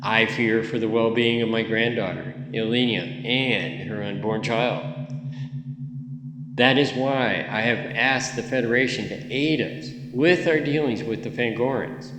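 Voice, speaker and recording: A man's voice: mid-range voice, unhurried, fairly bright tone, a little hiss or hum, natural voice. A man playing a grave, hopeful old refugee elder and grandfather in an audio drama, solemn and earnest. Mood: grave, worried. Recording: echoey hall reverb, faint music.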